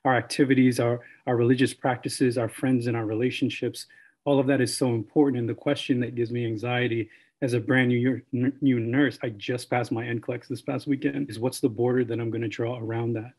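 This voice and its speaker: Husky-voiced young man, earnest. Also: mid-range voice, little pitch movement, fairly quick, darkish tone. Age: young adult. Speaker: newly qualified nurse. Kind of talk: earnest panel discussion. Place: room at home. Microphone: computer mic on a video call.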